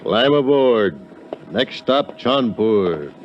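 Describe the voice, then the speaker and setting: An actor playing a gruff, grasping sea captain with salty sailor talk in a 1950s radio drama. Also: male voice, mid-range voice, sing-song, faint music behind. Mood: brisk. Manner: slow salty sailor talk, hard bargaining. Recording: muffled, slightly noisy old radio recording.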